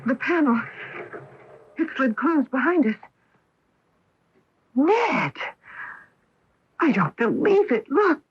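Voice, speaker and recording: An actress playing an awestruck, wary astronaut wife in a radio drama, with a dramatic delivery. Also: female voice, high voice, big pitch swings, takes it slowly, long pauses, heavily acted. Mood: awed. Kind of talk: 1980s radio drama acting. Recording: dull lo-fi AM radio broadcast.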